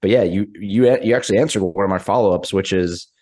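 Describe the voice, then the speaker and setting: A man co-hosts a relaxed wrestling talk show from afar over a video call. His voice is low and raspy, worn rough from a night of drinking, and he talks in loose, hesitant stretches. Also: fast. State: hoarse, hungover. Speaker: co-host. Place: in Texas, remote.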